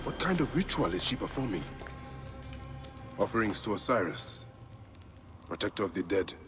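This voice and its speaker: An actor playing a brooding ancient Egyptian investigator in a video game, speaking gravely as he muses to himself. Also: lowish voice, big pitch swings, moderate pace. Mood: grim musing. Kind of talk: video game character dialogue. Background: game music.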